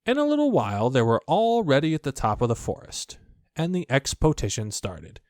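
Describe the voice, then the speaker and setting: A man's voice: medium pitch, big pitch swings, moderate pace, a little mellow, no echo, clean studio sound. A man narrating a children's story as an audiobook reader, from a script.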